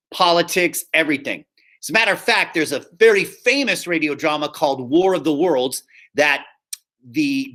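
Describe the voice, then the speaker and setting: A man teaching a high school theater class online, explaining slides in a conversational, repetitive way. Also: sharp tone. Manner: conversational and explanatory, often repeats himself. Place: live online class over video call.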